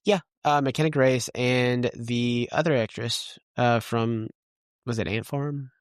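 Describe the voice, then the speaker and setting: Man, casual podcast co-host, rambling, blunt, swears a lot, mid pitch, dry sound. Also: steady tone, takes it slowly, clear, resonant tone, no room sound. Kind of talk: casual movie chat.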